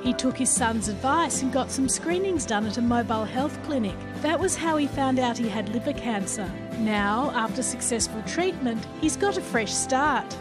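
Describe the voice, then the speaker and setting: A woman reporter reading a scripted news voice-over in a clear, measured delivery. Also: a little high, animated, over music.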